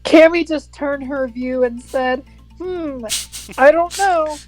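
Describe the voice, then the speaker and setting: A woman's voice: sits high, slow pace, a little mellow, no echo, soft background music, rough recording. A young woman reading a story aloud with casual, sweary, mock-aggrieved commentary. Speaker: co-host of a dramatic reading.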